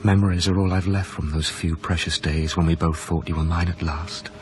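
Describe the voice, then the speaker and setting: Man acting deep, brooding lovelorn narrator. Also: steady tone, lightly acted. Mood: bitter, wistful. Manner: brooding, hushed, measured. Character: grieving, wistful former lover recounting a haunted past. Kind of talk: dramatic first-person radio narration.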